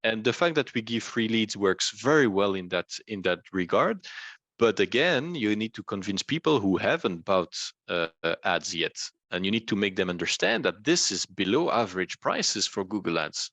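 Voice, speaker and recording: Low-voiced man, close and dry. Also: very animated, moderate pace, fairly bright tone, no room sound. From Belgium. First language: not English. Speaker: interview guest, rank and rent website entrepreneur. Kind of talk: explanatory conversational talk.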